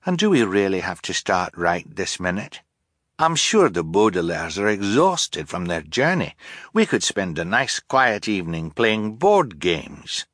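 A man reads as a sensible young girl in a storybook. The delivery is courteous and earnest, gently pleading, with animated intonation and a bright tone. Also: low voice, a little slow, no echo, studio quality, full character voice. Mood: reluctant. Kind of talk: audiobook character voice.

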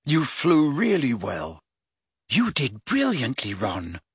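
A stubborn teenage hero from a storybook, read by a man, terse and earnest. The voice is husky, with lively shifts of pitch and a dry close sound. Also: slow, no room sound, heavily acted. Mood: croaky praise. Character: teenage boy hero, stubborn, firm, plain-spoken.

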